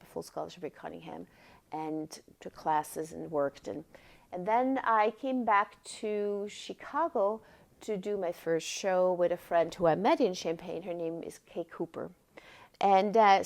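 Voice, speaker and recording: Older Swiss woman, lowish voice. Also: gravelly, darkish tone. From Switzerland. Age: 60s. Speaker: former dancer and choreographer being interviewed. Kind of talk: oral history interview, personal recollection.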